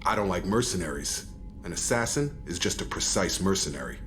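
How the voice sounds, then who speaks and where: An actor playing a wary, proud, loyal soldier in a video game, talking in a skeptical, pointed manner. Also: male voice, sits low, expressive, a little fast, fairly bright tone, soft background music. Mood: suspicious, curt. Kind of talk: video game cutscene acting.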